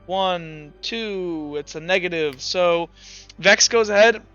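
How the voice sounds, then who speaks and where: A man's voice: sits high, slow, bright, forceful tone, smooth, highs cut off, faint music behind. A man casually narrating a tabletop roleplaying game as game master, thinking aloud and correcting himself as he goes.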